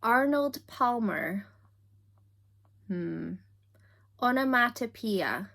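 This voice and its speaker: A young Scottish woman with a softened accent, chatting casually to camera in a self-deprecating, giggly way. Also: a little high, animated, drawn-out words, gravelly. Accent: Scottish, softened toward standard British. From Scotland. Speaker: YouTuber.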